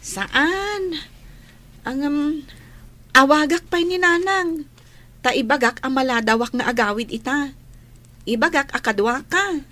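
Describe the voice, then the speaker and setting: A woman's voice: sits high, measured pace, pauses often, sharp tone, no room sound. A woman playing a caring, earnest young schoolgirl in an Ilocano radio drama. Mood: light, agreeable. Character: caring young schoolgirl, gentle and sympathetic friend.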